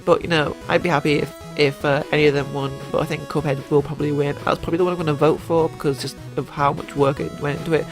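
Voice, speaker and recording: Young male gaming YouTuber, congested from a cold, gravelly and a bit high, rambling with fillers over faint music. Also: little pitch movement, quick pace, hardly any pauses. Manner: rambling, many fillers and restarts. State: stuffed up with a cold. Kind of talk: casual gaming commentary.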